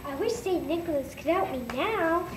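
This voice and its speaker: Kid doing an honest troubled baker in a school play, really high lively voice, far off in echoey room. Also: normal speed. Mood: wistful. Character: troubled, honest male baker in a storybook tale. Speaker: student performer in a classroom reader's theater. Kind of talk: reader's theater acting. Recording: echoey noisy room, distant mic.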